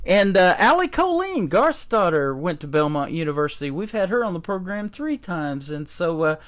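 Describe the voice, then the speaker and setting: High-voiced chatty male radio host. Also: big pitch swings, normal speed, fairly bright tone. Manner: chatty, folksy, with frequent "uh" fillers. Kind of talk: casual radio interview.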